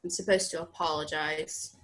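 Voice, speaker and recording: An actress in a play reading, playing a scornful teenage daughter with a blunt, accusing manner. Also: female voice, sits low, fairly even intonation, moderate pace, a bit bright, slight background noise, poor audio. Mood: sullen, bitter.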